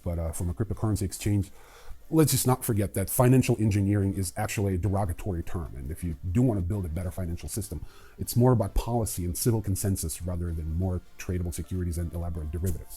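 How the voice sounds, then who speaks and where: A man's voice: low voice, quick pace, mellow, slightly rough, faint music behind. A man hosting a news show, giving sardonic, irreverent commentary with casual asides. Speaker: host of a crypto news show.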